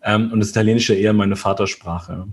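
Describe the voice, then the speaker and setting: A man's voice: lowish voice, fairly even intonation, fairly quick. A man from Germany, a guest in a casual interview, talking hesitantly with many fillers and tag questions.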